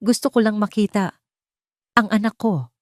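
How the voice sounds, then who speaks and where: A woman's voice: mid-range voice, moderate pace, pitched above the performer's own voice, close to an everyday voice. A resentful, wealthy man in a romantic audio drama, as a woman narrator puts him on. He pushes every line with bitter, dramatic insistence. The sound is dry and close, free of room echo. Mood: pleading, insistent. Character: desperate, resentful wealthy man, a spurned father.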